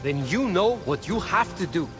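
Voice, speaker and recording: Game casting: proud, pushy deity, high male voice with big pitch swings, music underneath. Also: animated, normal speed. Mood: goading. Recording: clear music behind the voice.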